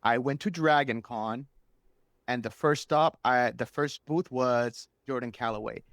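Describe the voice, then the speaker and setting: A man's voice: medium pitch, unhurried, fairly bright tone, no room sound, studio quality. A man whose first language is Spanish, chatting enthusiastically and casually as a guest telling stories on a podcast. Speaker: interview guest, superfan.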